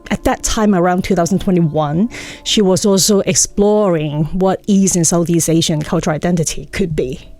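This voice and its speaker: A woman's voice: fairly low pitch, a little mellow. A middle-aged woman from Hong Kong with a Hong Kong accent, reflecting as an interview guest and searching for her words. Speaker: guest, performance artist.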